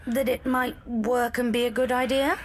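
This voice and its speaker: Woman acting a higher-voiced young adventurer. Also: level tone, normal speed, slight background noise, lightly acted. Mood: earnest. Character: headstrong, resourceful young female adventurer. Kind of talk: audio drama acting. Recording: music under the voice.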